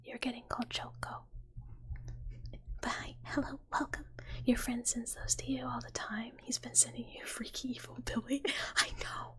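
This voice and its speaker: Whispering, breathy woman streamer. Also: fairly high pitch, little pitch movement, normal speed, a bit bright. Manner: playful, giggly, cutesy. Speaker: livestreamer. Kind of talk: ASMR livestream chatting with viewers.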